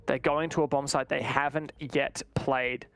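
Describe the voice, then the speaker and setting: A man's voice: medium pitch, flat delivery, unhurried, a bit bright, clear, resonant tone, dry recording. A man commentating live on an esports match, mixing play-by-play with analysis.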